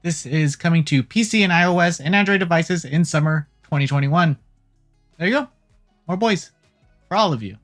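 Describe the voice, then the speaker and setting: A host delivering casual gaming news commentary in an irreverent, jokey way, swearing casually, with a medium-pitched voice. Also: normal speed. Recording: music playing behind the voice. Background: background music.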